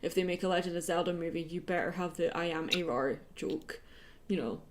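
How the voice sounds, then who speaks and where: A woman's voice: fairly low pitch, brisk. A woman in casual, conversational chat, the kind heard on a livestream. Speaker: artist hosting a livestream.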